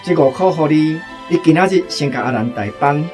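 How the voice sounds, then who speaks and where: Woman as a low-voiced, brusque Taiwanese boss. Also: lively intonation, brisk, music in the background. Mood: irritated. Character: brusque, irritated theater boss. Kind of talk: radio drama acting.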